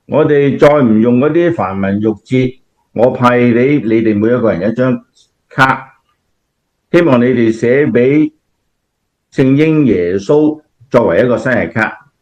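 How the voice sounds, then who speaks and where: A man's voice: mid-range voice, darkish tone, close to an everyday voice. A man with a Cantonese accent playing a kindly, devout grandfather who explains things patiently in a scripted radio drama. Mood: earnest. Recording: dull, lo-fi audio.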